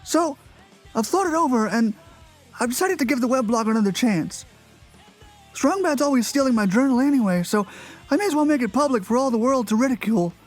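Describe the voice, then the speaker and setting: A performer plays a defeatist young cartoon character reading a moody online journal. The delivery is mournful and theatrical, with very varied pitch over a faint music bed. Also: expressive, brisk, natural voice. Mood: gloomy, resigned. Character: gloomy, self-pitying young cartoon character reading his blog. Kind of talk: dramatic reading of a moody blog.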